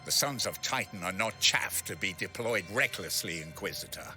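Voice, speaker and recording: Noble warrior knight, stern, formal, very sharp forceful tone, animated pitch, game cutscene. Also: male voice, moderate pace, soft background music, exaggerated voice. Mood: indignant. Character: stern, noble male warrior knight commander, dutiful and wary. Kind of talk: dramatic video game cutscene acting.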